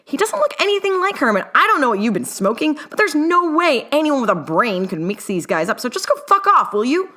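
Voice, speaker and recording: A woman voicing a hot-tempered, foul-mouthed young male scientist in a story, shrill, slangy and outraged. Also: very animated, a little fast, few pauses, heavily acted. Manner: shrill, shrieking and shouting, slangy. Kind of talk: dramatic fiction reading.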